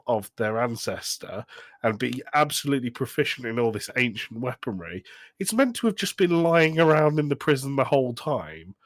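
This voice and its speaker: A man's voice: medium pitch, dry recording. A man co-hosting a podcast, talking casually and emphatically as he critiques a film. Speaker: podcast co-host. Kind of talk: casual film review chat.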